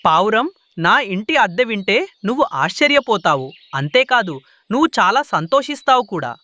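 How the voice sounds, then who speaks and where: A man's voice: sits high, big pitch swings, a little fast, pushed and bright, full character voice. A man playing a sly, greedy crow landlord who wheedles and bosses in a children's cartoon. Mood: wheedling. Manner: scheming, wheedling, bossy.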